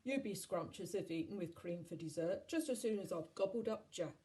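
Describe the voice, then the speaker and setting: Woman acting a booming, grumpy storybook giant. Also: lively intonation, fairly quick, warm tone, natural voice. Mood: grudging. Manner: booming, emphatic chanting and grumbling. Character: grumpy, greedy, child-eating male giant.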